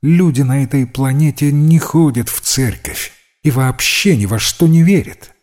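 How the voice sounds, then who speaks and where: Man voicing a blunt, doubting young boy, raised pitch. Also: unhurried, darkish tone, close to an everyday voice. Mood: frank. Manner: blunt, sulky, bitter. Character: smart, honest, doubting poor young boy.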